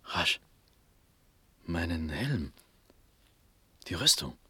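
An actor playing a dazed, dreamy young Prussian prince in a verse radio drama, fervent and halting, with breathy speech drifting into whispers. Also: male voice, sits low, big pitch swings, bright, forceful tone, a little hiss or hum, full character voice. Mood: dazed, groggy. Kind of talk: classical German stage verse radio drama.

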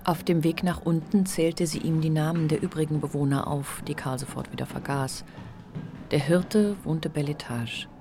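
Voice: mid-range voice, steady tone, moderate pace, over music. A narrator reading literary prose in a scripted radio drama.

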